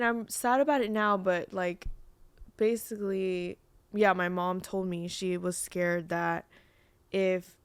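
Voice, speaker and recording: A woman's voice: lowish voice, clear, resonant tone, no room sound. A young woman whose first language was Vietnamese, chatting casually as a podcast guest with lots of fillers. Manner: chatty, many fillers and restarts. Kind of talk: casual chat.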